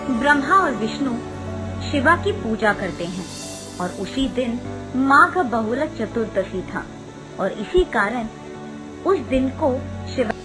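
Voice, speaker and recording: A woman reading a scripted devotional moral story as a storyteller, in deliberate, short phrases. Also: on the high side, big pitch swings, rough recording. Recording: music behind the narration. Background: background music.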